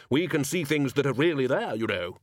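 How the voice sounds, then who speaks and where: A man voicing a hearty, blustering old wizard in a storybook reading, with a booming, interrogating manner. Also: expressive, normal speed, dry recording, clean studio sound, natural voice. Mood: brisk, curious.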